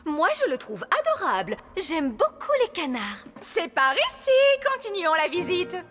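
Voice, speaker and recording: A woman's voice: high-pitched, wildly swooping pitch, measured pace, bright, forceful tone, faint music behind, exaggerated voice. A woman voicing a bossy, self-assured fairy nanny in a French cartoon dub, with a proper, theatrical manner. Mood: breezy.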